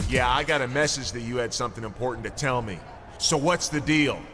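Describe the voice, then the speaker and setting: A man's voice: medium pitch, brisk, fairly bright tone, faint music behind, natural voice. A man playing a self-assured, dry and cheeky pro wrestler in a video game cutscene. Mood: questioning. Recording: noisy game cutscene audio.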